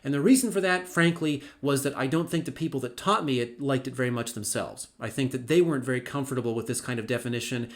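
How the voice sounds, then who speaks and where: A man's voice: medium pitch, quick pace, hardly any pauses. A middle-aged male university lecturer giving a recorded lecture, talking in a conversational way with wry asides and plenty of ums and restarts.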